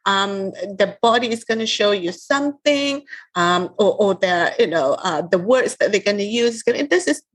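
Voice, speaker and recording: A woman in her thirties from Malaysia, speaking as a podcast host in an animated, casual manner. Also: medium pitch, normal speed. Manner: animated, many fillers and restarts, frequent laughs and backchannels. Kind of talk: casual interview chat.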